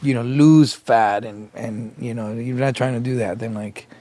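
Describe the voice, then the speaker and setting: Raspy, tired middle-aged man hosting a laid-back podcast, rambling with lots of filler words. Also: mid-range voice, fairly even intonation, moderate pace, mellow. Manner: rambling, filler-heavy storytelling. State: tired after long day of meetings. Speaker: podcast co-host. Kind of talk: casual chat.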